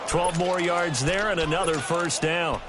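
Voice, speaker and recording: A man playing a brisk American play-by-play announcer calling football in a video game. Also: on the high side, steady tone, natural voice. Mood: focused, brisk. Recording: heavy noise over streamed game audio.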